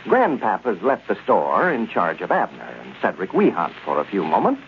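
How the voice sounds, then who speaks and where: A man's voice: lowish voice, huge pitch swings, moderate pace. A man reading scripted copy as an announcer in the style of 1930s radio. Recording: tinny, noisy 1930s radio recording.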